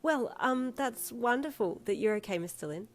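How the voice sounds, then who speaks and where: A woman's voice: on the high side, expressive, moderate pace, faint music behind, voice pushed up, natural voice. A woman voicing a friendly, slightly hesitant waitress in a narrated story.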